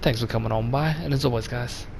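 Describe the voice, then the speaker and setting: A man with a slightly croaky voice hosting a let's play, chatting casually and addressing viewers directly. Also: mid-range voice, quick pace. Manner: relaxed and chatty, talks straight to viewers. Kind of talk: casual gameplay commentary. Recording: voice over game sound noise.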